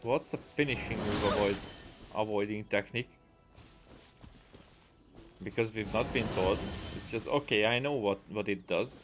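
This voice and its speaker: A man's voice: fairly low pitch, moderate pace, long pauses. A man giving casual let's play commentary as a gaming streamer, tossing out muttered asides as he reacts to the game. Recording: voice over clear game music. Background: video game music.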